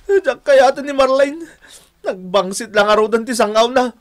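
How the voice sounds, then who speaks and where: A man's voice: extremely high pitch, unhurried. A man playing a whiny, henpecked young Filipino husband in a radio drama, given to complaining and pleading. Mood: sulky complaining. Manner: whining, pleading. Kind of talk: Ilocano radio drama acting.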